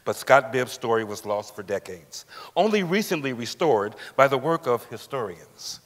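A man's voice: mid-range voice, sing-song, normal speed. A middle-aged man giving a scripted dramatic reading as narrator on a theatre stage. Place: large indoor theatre stage. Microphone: lapel. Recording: echoey theatre hall.

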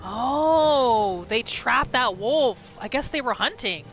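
A woman's voice: on the high side, lively intonation, slow pace, a bit bright. A woman giving reactive gameplay commentary on a roleplay, full of exclamations and rhetorical questions. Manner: exclaims, asks rhetorical questions, speaks for the character. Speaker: gamer narrating a roleplay playthrough. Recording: game music behind the voice. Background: video game music and sounds.

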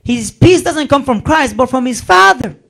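A young man interprets a sermon line by line into a handheld mic on a church stage. His voice is very high for a man, and he talks quickly. He follows the preacher closely, echoing each phrase. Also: expressive, creaky, crowd noise.

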